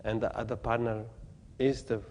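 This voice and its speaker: A man from Tibet, giving a Buddhist teaching in halting, plain English with gentle humor. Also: mid-range voice, level tone, long reverb tail, slight background noise. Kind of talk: dharma talk to an audience. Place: talk venue with audience.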